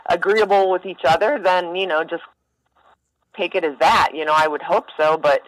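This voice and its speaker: A woman's voice: fairly low pitch, fairly even intonation, moderate pace. A woman speaking bluntly and informally in a casual phone conversation, as a helpline staffer. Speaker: mental health helpline staffer. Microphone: telephone. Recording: phone call.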